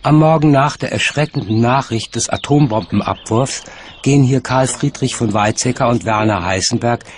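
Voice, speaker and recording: A man's voice: medium pitch, moderate pace. A man reading scripted narration as the narrator of a documentary.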